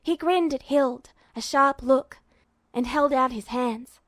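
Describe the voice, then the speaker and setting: A woman with a high voice narrates a fan fiction story. It is a scripted read, recorded very dry with hardly any room sound. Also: slow, no room sound.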